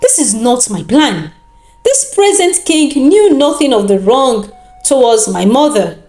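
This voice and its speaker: Woman acting uneasy female healer, Nigerian accent, earnest protest, high lively folktale voice, roomy echo. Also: a little slow. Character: vengeful young female village healer, uneasy. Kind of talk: dramatic folktale storytelling. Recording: roomy echo with background music.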